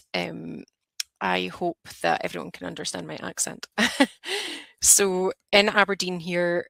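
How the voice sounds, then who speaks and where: A woman's voice: on the low side, creaky, sharp tone, no room sound. A Scottish woman in her thirties, a nurse practitioner, giving a plain, explanatory online teaching talk over a video call. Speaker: advanced nurse practitioner, guest speaker.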